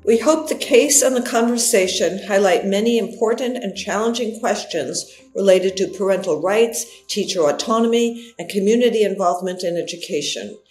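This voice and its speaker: A woman's voice: mid-range voice, moderate pace, hardly any pauses, a little mellow, reverberant. A middle-aged woman reading a scripted introduction as a podcast co-host on a video call from home. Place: living room at home. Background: music.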